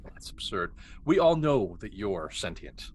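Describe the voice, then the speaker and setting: A man's voice: lowish voice, big pitch swings, measured pace. A man reading the part of a stubborn, self-assured scientist giving curt testimony as a hostile witness in a table read. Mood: dismissive. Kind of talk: amateur script table read. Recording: clear background noise, room ambience.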